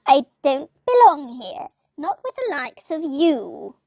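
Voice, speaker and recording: A woman playing a naive, prim, indignant low-ranking angel in a comic puppet show, earnest and easily flustered. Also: very animated, slow pace, creaky, mellow, no echo, clean studio sound, heavily acted.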